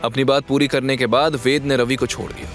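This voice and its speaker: A man's voice: medium pitch, level tone, fairly quick. Male audio drama narrator over music. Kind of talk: scripted dramatic story narration.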